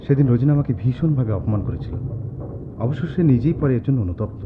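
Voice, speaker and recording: An actor playing an aloof, self-possessed young male student in a Bengali radio drama, measured and dry. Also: mid-range voice, animated, natural voice. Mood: reflective, bitter. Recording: tinny phone-like audio, clear vehicle noise.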